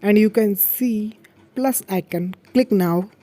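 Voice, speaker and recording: A man's voice: high voice, slow pace, mellow, dry recording. Man giving a choppy phone-app tutorial. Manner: simple, broken English phrasing with repeated prompts. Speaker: tech tutorial host.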